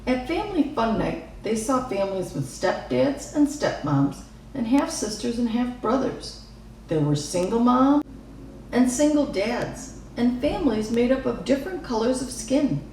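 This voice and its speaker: A woman reading a children's story aloud in an expressive narrating style. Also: fairly high pitch, a little slow. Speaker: storybook reader. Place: reverberant room, away from the mic. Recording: echoey room, distant mic, faint noise.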